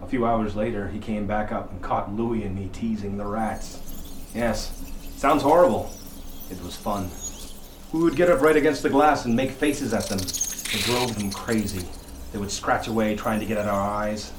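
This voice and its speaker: Actor as a haunted lighthouse keeper, echoey sound. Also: male voice, fairly low pitch, natural voice. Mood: grim recollection. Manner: dramatic, suspenseful storytelling with deliberate pauses. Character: grim, haunted male lighthouse keeper recounting horror. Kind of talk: audio horror drama narration and acting. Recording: echoey, noisy radio play sound.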